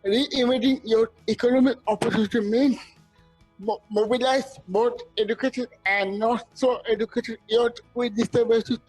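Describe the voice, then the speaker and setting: A man's voice: very high-pitched, steady tone, normal speed, darkish tone, faint music behind, poor audio. A man from Bangladesh giving short advocacy statements in a plain way with slight stumbles.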